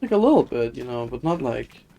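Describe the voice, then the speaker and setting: A man's voice: medium pitch, sing-song, a little fast, gravelly, warm tone, slight background noise, rough recording. A man giving casual, rambling live commentary on a video game, thinking aloud in a self-deprecating way. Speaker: game streamer.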